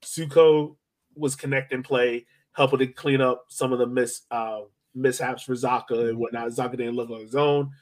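An American man with a middle-of-the-road pitch chats as a podcast co-host. He analyses sport informally, using slang and an easy conversational delivery. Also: fairly even intonation, normal speed. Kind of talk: casual sports analysis chat.

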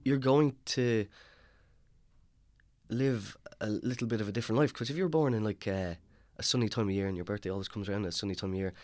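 A man's voice: lowish voice, fast talker, darkish tone, no echo. A man in his thirties with a rural Irish accent, chatting casually and rambling with lots of fillers. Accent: Irish, rural Longford. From Ireland. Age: 30s. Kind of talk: casual chat.

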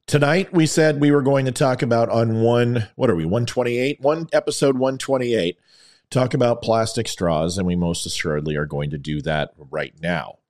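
A man's voice: lowish voice, darkish tone. A man with a slightly scratchy, worn voice hosts an opinion show, delivering commentary in a theatrical, emphatic way. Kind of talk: opinion commentary monologue to an audience.

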